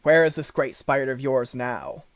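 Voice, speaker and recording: A man's voice: mid-range voice, moderate pace, dry recording, lightly acted. Proud brave lion, voiced by a man, stately, self-assured, storybook character, close dry sound. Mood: resolute. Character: proud brave male lion, king of beasts.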